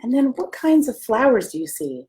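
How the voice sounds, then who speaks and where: An American woman, a pastor, reading a children's story aloud with gentle, simple asides and questions for young listeners. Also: fairly high pitch, sing-song, moderate pace. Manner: gentle, simple questions addressed to small children, often hushed.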